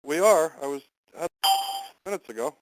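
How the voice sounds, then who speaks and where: A man hosting and moderating a conference call in a semi-formal, halting way, stumbling over his wording now and then on a phone line. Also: fairly high pitch, animated, a little hiss or hum.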